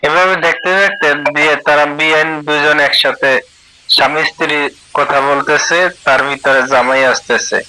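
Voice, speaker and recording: A man's voice: a little high, fairly even intonation, moderate pace, sharp tone, low-quality recording. A man narrating a cartoon story as a storyteller.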